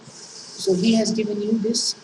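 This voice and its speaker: A woman's voice: mid-range voice, flat delivery. Echoey, distant Pakistani woman lecturing. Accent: Pakistani English. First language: Urdu. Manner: halting, many fillers and restarts. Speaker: engineering lecturer. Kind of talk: classroom lecture explaining worked problems. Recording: echoey room, distant mic, noisy.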